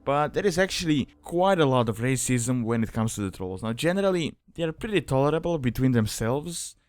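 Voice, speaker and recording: Man, guide narrator, crisp voice, mid pitch, informal explanatory delivery, loose rambling phrasing. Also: expressive, brisk, no room sound, studio quality. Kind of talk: casual explanatory voice-over.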